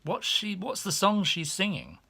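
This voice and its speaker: Man putting on a chatty, nosy hotel maid, raised pitch. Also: wildly swooping pitch, measured pace, vocal fry, sharp tone, husky, heavily acted. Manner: nosy, unembarrassed, firing question after question. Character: pushy, oblivious, chatty hotel maid, a woman. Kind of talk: audiobook character voice.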